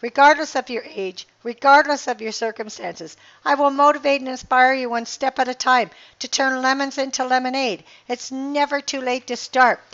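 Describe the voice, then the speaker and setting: A woman's voice: high-pitched, pushed and bright, dull audio. An older woman, a life coach, talking casually and earnestly on her podcast while losing her voice by the ocean. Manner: earnest, rambling, inspirational, with restarts. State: slightly hoarse, losing her voice. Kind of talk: casual motivational monologue. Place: outdoors by the ocean.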